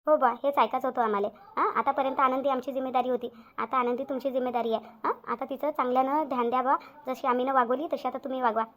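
A woman's voice: sits high, fairly even intonation, fast, hardly any pauses, a bit bright. A woman voicing an anxious, doting village mother in a cartoon story, pleading and repeating herself in a Vidarbha Marathi accent. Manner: pleading, repetitive. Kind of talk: amateur cartoon story dialogue.

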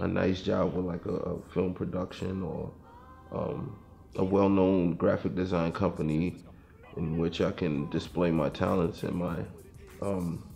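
A man's voice: low voice, vocal fry, darkish tone. A young man from Brooklyn, a student, talking about his plans in an interview, hesitant with many fillers. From Brooklyn, New York. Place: dark studio, indoors.

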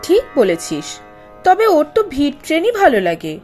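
A woman's voice: high voice, huge pitch swings, normal speed, a little mellow, faint music behind. A woman voicing a spiteful, arrogant young college girl in a cartoon, sneering and scornful as she talks. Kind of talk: Bengali animated story dialogue.

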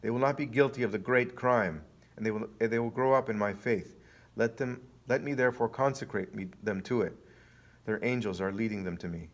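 A man's voice: fairly low pitch, fairly quick, lightly acted. A man voicing Jesus, a solemn commanding healer. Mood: kindly, firm. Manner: solemn, declamatory. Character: Jesus, commanding authoritative healer, kindly yet stern man.

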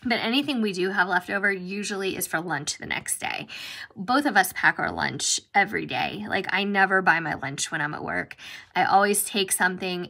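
A woman's voice: mid-range voice, pushed and bright. An American woman, a middle-aged vlogger, chatting casually and emphatically in a conversational way as she narrates her planning.

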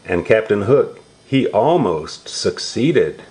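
Male storybook reader for little kids; lowish, very animated intonation, simple repeated explanations. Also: rough recording. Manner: simple, repetitive, drawn-out words with exclamations. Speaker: storybook reader for young relatives. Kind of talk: read-aloud storytelling to young children, explaining pictures and words.

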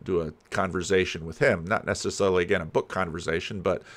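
An older man giving casual, rambling commentary as a host, halting and thinking aloud. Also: deep voice, fairly even intonation. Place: home room lined with books. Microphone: desk microphone, close, with headphones.

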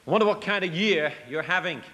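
Middle-aged British preacher, animated storyteller, fairly high voice, long tent reverb. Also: male voice, fast talker. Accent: British English. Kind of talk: sermon. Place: large convention tent.

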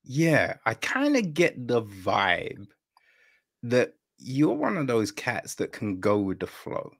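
A man's voice: mid-range voice, wildly swooping pitch, slow. An older man hosting a casual podcast interview, speaking informally with hesitant phrasing. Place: indoor room at home, on a video call. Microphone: video call.

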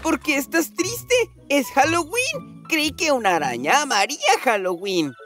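A woman's voice: high-pitched, big pitch swings, a little slow, a bit bright, exaggerated voice. A woman voicing a curious, upbeat young explorer in a children's educational cartoon, bubbly, exclamatory and full of questions. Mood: friendly. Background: music.

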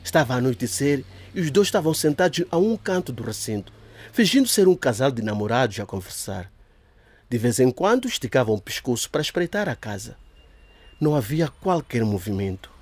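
Narrador de audiodrama muito expressivo. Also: male voice, medium pitch, brisk. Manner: lively storytelling. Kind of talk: scripted audio-drama narration.

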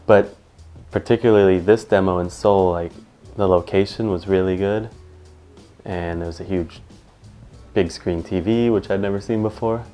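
Man, thirties, from california, plain upbeat answers, mellow, lowish pitch, lapel mic. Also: steady tone, normal speed, long pauses, soft background music. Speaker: pro skateboarder. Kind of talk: casual interview answers.

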